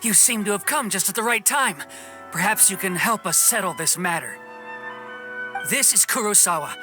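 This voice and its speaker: A woman's voice: mid-range voice, lively intonation, moderate pace, natural voice. An actress playing an indignant, demanding village woman in a video game, stern and emphatic. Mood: aggrieved. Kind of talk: video game cutscene acting. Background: game music.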